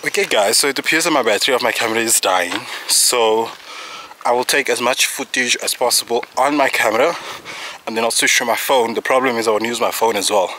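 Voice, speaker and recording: A man's voice: mid-range voice, a little fast, bright, forceful tone, a little hiss or hum. A man in his thirties from South Africa, vlogging casually to a handheld camera outdoors on a mountain trail, joking at his own expense and a little out of breath. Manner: chatty, jokey, self-teasing asides. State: slightly out of breath. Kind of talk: casual vlog talk to camera. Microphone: handheld camera close to face.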